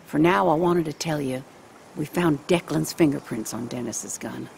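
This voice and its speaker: A folksy small-town police chief in a video game, very gravelly and deep for a female voice. She is neighborly and businesslike, with faint ambience behind her. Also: normal speed, a little mellow, a little hiss or hum. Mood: sympathetic, businesslike. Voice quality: very creaky, gravelly. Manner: homespun, neighborly.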